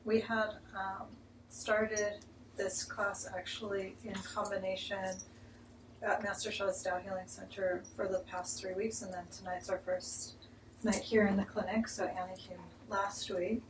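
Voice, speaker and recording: A woman's voice: medium pitch, little pitch movement, unhurried. A woman leading a group class, encouraging others to introduce themselves, speaking with fillers. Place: clinic room. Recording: roomy, distant mic, faint noise.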